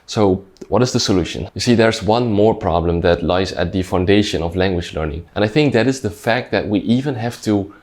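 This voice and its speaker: Very deep-voiced man explaining calmly. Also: fairly quick, hardly any pauses. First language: Dutch. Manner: thoughtful, conversational, frequent "like" and restarts. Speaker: language learning creator. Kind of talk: reflective talking-head explainer.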